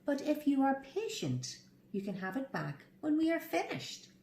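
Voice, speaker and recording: A woman's voice: high-pitched, sing-song, moderate pace, mellow, reverberant, slight background noise, pitched above the performer's own voice. A woman voicing a playful, teasing monkey in a story reading. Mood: cheeky, teasing.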